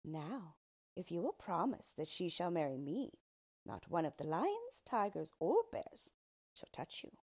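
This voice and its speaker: A woman's voice: on the low side, sing-song, darkish tone, husky, full character voice. Woman putting on a smug spiteful dwarf. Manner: disdainful, smug and drawn-out. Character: ugly spiteful yellow male dwarf, boastful.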